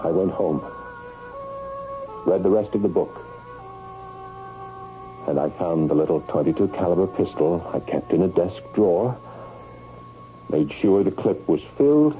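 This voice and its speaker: An actor playing an anxious, desperate husband in a radio drama, with a dramatic, sometimes stammering delivery. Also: male voice, low-pitched, lively intonation, unhurried, long pauses, faint music behind. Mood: grim, hushed. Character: desperate, anxious husband recounting his own murder mystery. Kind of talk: 1970s radio drama acting with first-person narration. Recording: phone-like, band-limited old radio recording.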